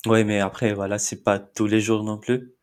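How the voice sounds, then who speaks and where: A man in his thirties from India, a Marathi speaker at heart, talks in a somewhat low voice. He answers with frequent pauses and fillers, often breaking into a short laugh. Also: flat delivery. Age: 30s. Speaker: podcast guest. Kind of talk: casual interview chat.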